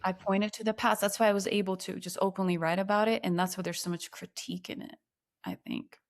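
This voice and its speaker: A woman from Ukraine, in her thirties, telling her personal story as a podcast guest in a reflective, earnest way that slows on emotional points. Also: mid-range voice, steady tone.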